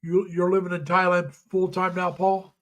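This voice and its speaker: A middle-aged man with a slightly raised pitch talks to his live-stream viewers over a home mic. He is folksy and rambling, laughs easily, and halts on plenty of uhs. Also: level tone, moderate pace. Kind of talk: casual chat reading viewer comments. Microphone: home webcam or computer mic.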